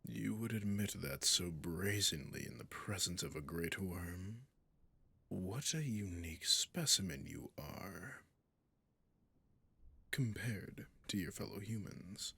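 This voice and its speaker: Man voicing a deep, breathy, imperious dragon king. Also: pushed and bright, exaggerated voice. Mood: intrigued, menacing. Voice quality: breathy, husky, often near whisper. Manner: slow, deliberate, haughty, archaic formal phrasing. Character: imperious, possessive male dragon king, menacing and seductive. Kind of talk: roleplay audio drama, addressing the listener.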